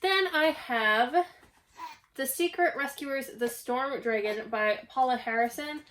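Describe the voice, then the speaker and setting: A young woman with a slightly hoarse voice, recovering from a cough, chatting casually at home about a stack of books. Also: high-pitched, takes it slowly, fairly bright tone, slight background noise. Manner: chatty, self-deprecating, rambling. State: hoarse, getting over a cough. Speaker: book channel host. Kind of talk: casual book haul vlog. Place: at home, indoors.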